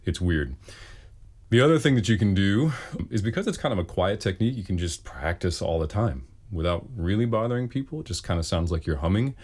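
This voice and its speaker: Man, deep bass voice, casual question-and-answer talk, wry, self-deprecating, singer explaining technique. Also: lively intonation, brisk, darkish tone.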